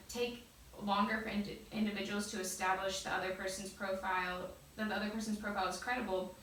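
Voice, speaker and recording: A woman's voice: medium pitch, a bit bright. A woman student interviewer reading out research findings and putting questions in an interview. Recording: very echoey room, far mic, faint noise.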